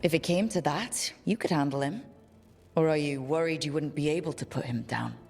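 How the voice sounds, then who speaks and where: An actress playing a steady, supportive adventurer leader in a video game, reassuring and gently probing. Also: female voice, deep voice, a little fast, faint music behind. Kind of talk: video game cutscene acting.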